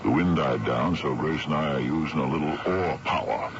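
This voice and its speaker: An actor playing a steady, practical man in charge of a small sailboat in a radio drama, commanding but reassuring. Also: extremely low pitch, normal speed. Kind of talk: 1970s radio drama acting. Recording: dull, very noisy old radio broadcast.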